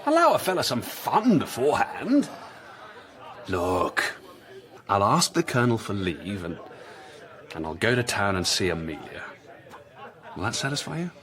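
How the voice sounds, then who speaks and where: A rakish young English gentleman officer of a period radio play. His voice swings very widely in pitch, blustering and haughty, proud and charming by turns. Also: big pitch swings, unhurried, pauses often, a faint crowd. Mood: contrite, cajoling. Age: young adult. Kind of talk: period radio drama acting.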